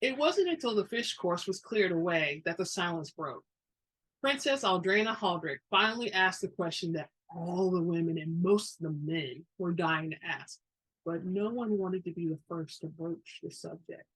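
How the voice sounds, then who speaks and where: A woman narrates a story in a dramatic reading style. Her voice sits a little low and has a husky grain, as in an audiobook. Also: expressive, moderate pace, fairly bright tone, low-quality recording.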